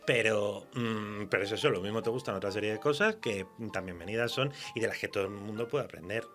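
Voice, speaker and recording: A man's voice: fairly low pitch, fairly quick, fairly bright tone, faint music behind. A man with a Spanish accent from Spain, chatting informally and with firm opinions as a guest writer on a radio interview. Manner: informal, laughs often, opinionated. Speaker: guest writer of horror fiction. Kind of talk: casual chat in a radio interview.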